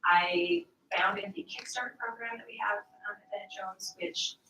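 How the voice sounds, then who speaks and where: A woman's voice: mid-range voice, moderate pace. A woman in her thirties, a lawyer, introducing herself to a group in a wry, breezy way. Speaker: corporate lawyer. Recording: echoey room, distant, clear noise.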